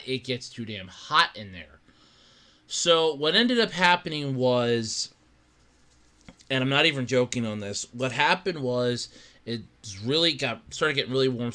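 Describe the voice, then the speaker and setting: A man hosting his own podcast in casual solo chat, rambling with lots of restarts and a slightly raspy voice from allergies. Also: medium pitch. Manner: rambling, animated, with many restarts and fillers. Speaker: podcast host. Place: his own room at home.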